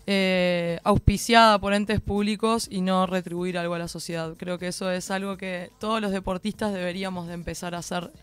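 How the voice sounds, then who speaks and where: A young woman with a Uruguayan accent, a rally driver guest talking in a relaxed, chatty radio interview with fillers and restarts. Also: fairly low pitch, a little fast, hardly any pauses, smooth. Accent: Uruguayan Spanish. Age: young adult.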